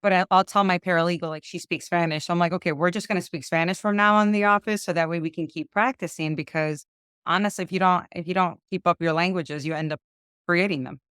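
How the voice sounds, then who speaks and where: Smooth-voiced young woman, chatty interview guest. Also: on the low side, steady tone, fast talker, a bit bright, no room sound, clean studio sound. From Chicago, United States. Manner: chatty, full of "um" and "like" fillers. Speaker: guest, lawyer. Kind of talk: casual interview conversation.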